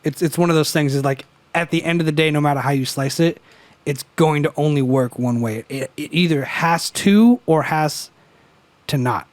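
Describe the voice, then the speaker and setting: A man's voice: on the high side, moderate pace, dry recording. A young man co-hosting a podcast in casual chat, profane and joking, his voice cracking as he argues. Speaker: podcast co-host. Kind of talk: casual chat over beers.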